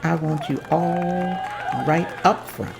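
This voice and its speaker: A woman reading the part of a determined community leader in an amateur table read, earnest and steady. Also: fairly low pitch, takes it slowly, gravelly, a loud crowd, heavy background noise. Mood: rallying.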